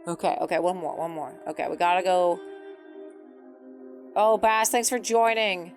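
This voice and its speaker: A woman's voice: lowish voice, steady tone, moderate pace. A woman game streamer giving casual live commentary, chatting and thinking aloud as she plays. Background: soft game music.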